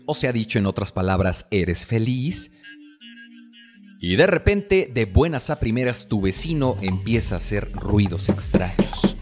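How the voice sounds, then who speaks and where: A Mexican man hosts a comedy podcast with a very animated, swinging intonation. He reads his scripted monologue in a tongue-in-cheek, mock-formal manner, over clear music. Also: on the low side. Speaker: podcast host.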